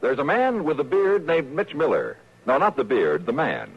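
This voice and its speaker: A man's voice: on the high side, big pitch swings, moderate pace, a little hiss or hum. An American man reading a scripted 1950s radio promo as announcer, playful and showy. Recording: muffled old radio broadcast, music behind.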